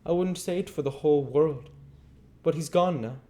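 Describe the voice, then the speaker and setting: A man putting on a high-pitched, sorrowful young schoolgirl. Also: moderate pace, warm tone, lightly acted. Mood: tearful regret. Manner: plaintive, theatrical storybook delivery. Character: melancholy young schoolgirl soliloquizing with regret.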